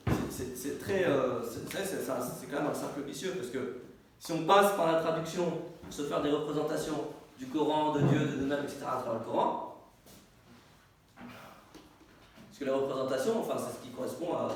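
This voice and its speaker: A male teacher whose first language is Arabic talks through ideas with a small group, circling back with repeated words and questions he answers himself. His voice sits a touch high for a man. The sound is heavily echoey, as if the mic were set far off in a bare room with a faint hiss. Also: normal speed. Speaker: teacher, institute director. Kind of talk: informal teaching discussion with a group.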